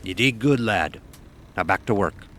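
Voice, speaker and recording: A man playing a stern, gruff ship's officer who tells a sea tale in commanding, archaic nautical speech for an audio horror drama. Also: fairly low pitch, expressive, a little slow, a bit bright. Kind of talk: first-person dramatic storytelling, audio horror.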